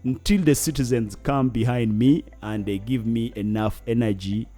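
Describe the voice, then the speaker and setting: A man's voice: medium pitch, moderate pace, warm tone, soft background music. A man from northern Uganda with a Ugandan English accent, speaking earnestly as a guest in an interview, often searching for words. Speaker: guest, climate activist. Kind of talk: interview conversation.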